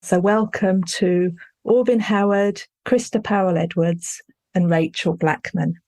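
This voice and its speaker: A woman hosting a podcast panel, welcoming guests in a measured, courteous way. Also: mid-range voice, fairly even intonation, a little mellow. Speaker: podcast host.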